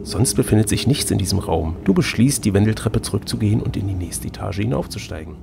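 A man's voice: on the low side, lively intonation, fast, creaky, noisy background. A man co-hosting a podcast, reading a gamebook aloud with playful, teasing banter. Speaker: podcast co-host and reader.

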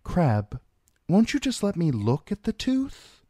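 A man voicing a patient, deferential, practical medicine woman in a storybook, gentle and pleading. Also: mid-range voice, very animated, takes it slowly, dry recording, clean studio sound, voice pushed up.

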